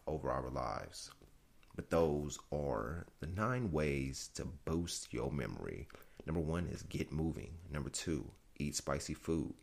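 A young man hosting a solo podcast, talking casually and straight to his listeners. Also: very deep voice. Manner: casual, speaks directly to listeners. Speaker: podcast host.

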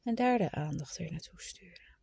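A woman leading a guided meditation in a soft, soothing, instructive manner, at times trailing into a breathy whisper. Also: lowish voice, lively intonation, no echo. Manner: informal, instructive, with unhurried pauses.

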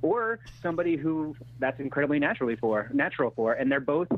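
A man's voice: fairly high pitch, lively intonation, quick pace, slight background noise. A man answering interview questions as an actor-writer in a candid, conversational way, often backtracking as he goes. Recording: thin phone-like radio sound.

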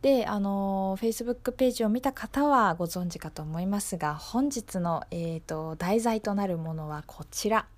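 A woman's voice: medium pitch, normal speed, few pauses, darkish tone. A woman presenting a radio segment, explaining it casually and without a script to her listeners. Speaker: radio show presenter and performer. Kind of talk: casual unscripted segment introduction.

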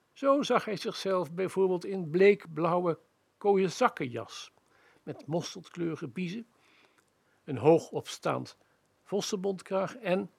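Male narrator for scripted first-person audio fiction, raspy with frequent vocal fry. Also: fairly high pitch, no room sound. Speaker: radio play narrator.

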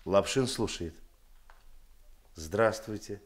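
An actor playing a seasoned, kindly police detective chief in a radio play, halting and a little shy. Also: male voice, on the low side, a little hiss or hum. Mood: tender, bashful.

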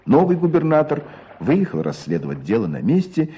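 An actor playing a storyteller in a radio play, narrating village hardship with expressive, deliberate phrasing. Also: male voice, a little high, dull audio, a little hiss or hum. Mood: grave.

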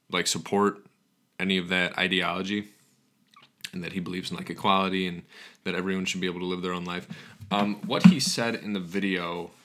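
A man's voice: sits low, a bit bright. A young man hosting a casual solo podcast, groggy after just waking up, rambling with frequent ums and restarts. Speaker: podcast host.

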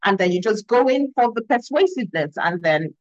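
A woman with a Nigerian accent makes her points with earnest emphasis and checks in with a quick "yeah?" as she goes. Her voice sits at a middling pitch. It comes through a video call and sounds dry and a little muffled. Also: expressive, no echo. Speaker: communication expert guest. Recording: dull, slightly lo-fi dry sound.

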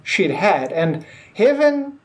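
A man co-hosting a podcast, chatting casually and haltingly, repeating words as he forms his thoughts. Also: high-pitched, sing-song. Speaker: podcast co-host. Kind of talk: casual episode discussion.

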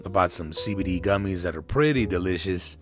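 A man's voice: low voice, brisk, faint music behind. A man in his thirties with a Mexican American accent, hosting a casual solo talk show, rambling with many fillers and restarts. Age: 30s. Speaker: podcast host.

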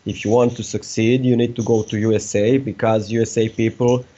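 A man's voice: fairly low pitch, little pitch movement, a little fast, dark tone, lo-fi sound. A man from Serbia with a Serbian accent, chatting casually as a podcast guest, candid and emphatic, often repeating himself.

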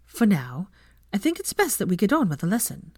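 A woman voicing a young female professor who is polite, measured and gently formal. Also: mid-range voice, huge pitch swings, no echo, studio quality, voice pushed up. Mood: guarded.

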